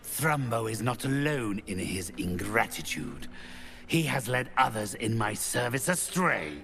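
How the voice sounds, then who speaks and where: An actor playing a haughty, cold, mercenary necromancer in a fantasy game, speaking slowly with theatrical condescension. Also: male voice, mid-range voice, sing-song, vocal fry, sharp tone, full character voice. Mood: disdainful, menacing. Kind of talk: cinematic fantasy game dialogue.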